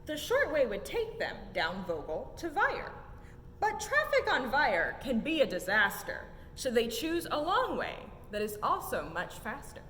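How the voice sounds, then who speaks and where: A young woman reads a children's picture book in a sing-song, highly animated voice that sits on the high side. Her delivery follows the rhymes with emphatic rises and falls. She is recorded in an echoey, reverberant room. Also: measured pace. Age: young adult. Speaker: story time reader at a museum. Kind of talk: expressive children's picture-book read-aloud. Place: indoor museum exhibit room. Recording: echoey room with low rumbling noise.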